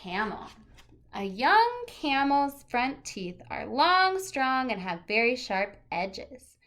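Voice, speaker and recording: A woman with a fairly high voice reads like a teacher sharing a picture book with children. Her delivery is expressive, leaning deliberately on key words, with casual remarks of her own mixed in. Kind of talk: read-aloud of a children's nonfiction picture book with casual asides.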